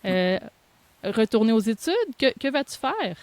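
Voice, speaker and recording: A woman with a Québécois French accent hosting a casual interview, informal and halting, often correcting herself. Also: fairly high pitch, expressive, a little mellow, no room sound. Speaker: interviewer, host.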